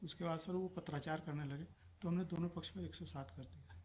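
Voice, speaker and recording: A man's voice: a little high, fairly even intonation, a little fast, darkish tone. A man with a Bihari Indian accent giving formal, defensive testimony as a police officer, deferentially addressing his superior as sir. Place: courtroom. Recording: muffled courtroom audio with clear noise.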